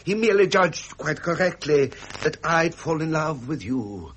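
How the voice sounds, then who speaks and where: Flirtatious prince, lively and high-pitched. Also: male voice, normal speed, a little hiss or hum. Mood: tender, flirtatious. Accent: British. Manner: suave, jovial, aristocratic. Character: pleasure-loving, flirtatious Victorian prince. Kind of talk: 1970s British radio drama acting.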